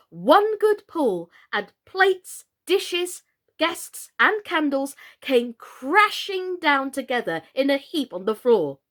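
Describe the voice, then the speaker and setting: Female storyteller, high voice with big swings in pitch, expressive narration for children. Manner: theatrical, expressive. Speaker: storyteller, actress.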